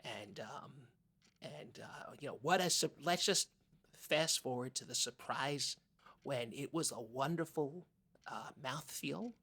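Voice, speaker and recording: A man's voice: medium pitch, lively intonation, slow, bright, forceful tone, husky, exaggerated voice. A man playing a timid, earnest, easily offended small-town podcast co-host in improvised comedy, speaking hesitantly and stammering.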